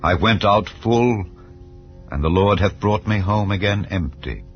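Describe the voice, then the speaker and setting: Naomi, an aged widow worn down by grief, speaks in slow, solemn phrases, voiced by a man. The voice sits very low, and the recording is a little dull. Faint music plays behind. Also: natural voice. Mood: lamenting. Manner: slow, solemn biblical speech. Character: grieving aged widow Naomi, bitter and tender.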